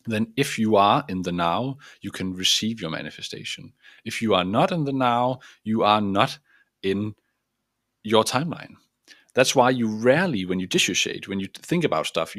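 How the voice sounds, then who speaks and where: A man's voice: fairly low pitch, fairly bright tone, no echo, clean studio sound. A man from Denmark with a Danish accent, a coach giving an earnest self-help talk to camera, often restarting and searching for words while he explains and sketches a diagram. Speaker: transformative coach.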